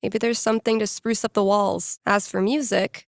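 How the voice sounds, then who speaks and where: A woman's voice: mid-range voice, flat delivery, moderate pace, dry recording, clean studio sound, natural voice. A woman voicing a wry, casual young American record store DJ in a video game, muttering to herself with self-amusement. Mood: musing. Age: young adult. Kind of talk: naturalistic video game voice acting.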